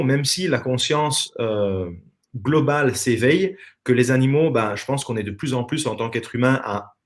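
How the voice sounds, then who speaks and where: Earnest Belgian man, reflective storyteller. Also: medium pitch. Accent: Belgian French. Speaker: interview guest, former professional dancer. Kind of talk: casual online interview.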